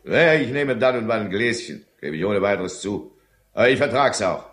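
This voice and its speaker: Deep-voiced, self-pitying drinking husband. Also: male voice, lively intonation, moderate pace, fairly bright tone. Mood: indignant, defensive. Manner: brooding, self-justifying. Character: alcoholic German businessman husband, self-pitying, defensive, irritable. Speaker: lead character and first-person narrator. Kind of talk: German radio drama acting and narration.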